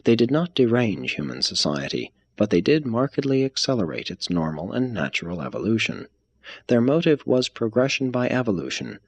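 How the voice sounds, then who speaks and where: A man reading a religious text aloud as scripted narration, in a measured, formal manner. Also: fairly low pitch, husky. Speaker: reader.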